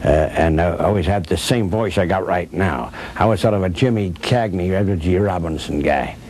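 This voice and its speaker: Low-voiced older man reminiscing. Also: moderate pace, darkish tone. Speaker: former radio soap opera actor, interviewee. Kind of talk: reminiscing in an interview. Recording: noticeable background noise.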